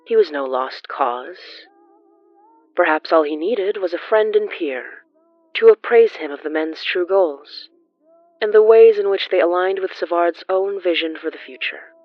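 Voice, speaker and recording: Horror fiction narrator, mid-range voice, formal and measured, slightly dull dry recording. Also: mid-range voice, dry recording, soft background music.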